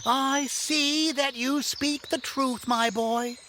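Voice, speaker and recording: A woman's voice: high voice, fairly even intonation, slow pace, background noise. A woman playing a whimsical, mischievous yet kindly elf in an audio drama, giggly and theatrical, with playful rhymes and grand old-fashioned phrasing. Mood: delighted, kindly.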